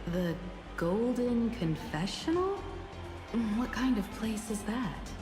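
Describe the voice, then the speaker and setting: A woman voicing a polite, reserved, inquisitive young woman in a video game, speaking in a measured way. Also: a little high, sing-song. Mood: polite, curious. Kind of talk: video game character acting. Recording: echoey hall reverb, faint music.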